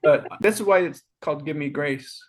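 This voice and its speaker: A man in his fifties co-hosting a podcast, joking about himself while reminiscing about high school. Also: normal speed. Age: 50s. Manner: self-deprecating, joking, wry. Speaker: podcast co-host. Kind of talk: casual reminiscing chat.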